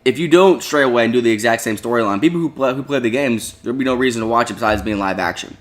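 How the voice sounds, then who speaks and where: A man's voice: medium pitch, very fast. A young man in a casual group chat, jokey and teasing. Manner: jokey, teasing, laughs often. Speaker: co-host.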